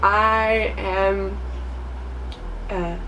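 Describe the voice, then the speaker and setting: A woman's voice: medium pitch, steady tone, drawn-out words, distant mic, background noise. A woman in her twenties from Portugal with a Portuguese accent, introducing herself in a chatty vlog, laughing often between thoughts. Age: 20s. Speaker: vlogger.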